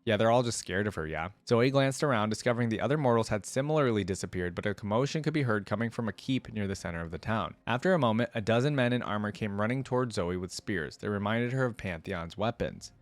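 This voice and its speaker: A man hosting a reaction video, reading a story aloud and thinking out loud in rambling, casual commentary. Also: on the low side, animated, quick pace, hardly any pauses, no echo.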